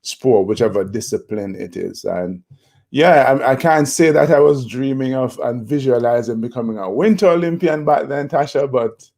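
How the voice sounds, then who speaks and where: Gravelly Jamaican man telling his story. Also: medium pitch, normal speed, a little mellow. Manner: reflective, with frequent fillers and restarts. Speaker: guest, motivational speaker. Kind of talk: conversational interview storytelling.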